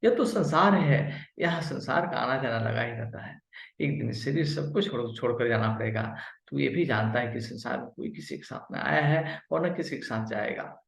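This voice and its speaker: Guy doing a wise stern god in a folk tale, solemn scolding advice, gravelly, echoey room. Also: medium pitch, moderate pace, close to an everyday voice. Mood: admonishing. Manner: admonishing, solemn, instructive. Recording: muffled, echoey home recording.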